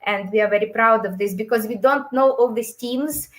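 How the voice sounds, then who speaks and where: A woman's voice: a little high, moderate pace. Woman presenting. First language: Ukrainian and Russian. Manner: hesitant, frequent fillers and restarts. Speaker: course creator presenting a webinar. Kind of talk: webinar talk.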